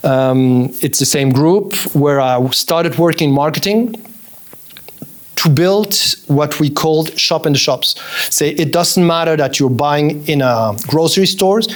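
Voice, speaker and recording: A man in his forties, a native German speaker who grew up partly in Colombia, telling his career story as a podcast guest in a quick, animated way. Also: fairly high pitch. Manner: fast, animated storytelling with restarts. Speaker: interview guest, fashion executive. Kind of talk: casual interview chat.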